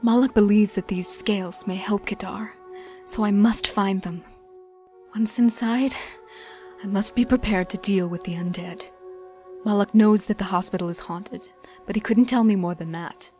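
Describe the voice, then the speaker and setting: A woman playing a determined adventurer who narrates a mission briefing in a hushed, solemn, measured manner. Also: medium pitch, natural voice. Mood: hushed, grave. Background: music.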